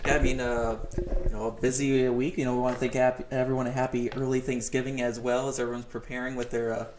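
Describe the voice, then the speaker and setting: A man's voice: medium pitch, level tone, fast, darkish tone, a little hiss or hum. A man, chatting casually as the co-host of a live show. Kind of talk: casual banter. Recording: roomy, echoing studio sound.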